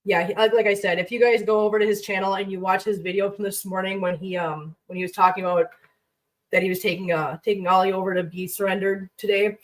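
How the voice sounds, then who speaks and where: A woman's voice: medium pitch, fairly even intonation, quick pace, recorded from a distance, rough recording. A woman hosting a livestream from her home kitchen, chatting casually while cooking. She sounds congested and rambles, talking to her pets and viewers.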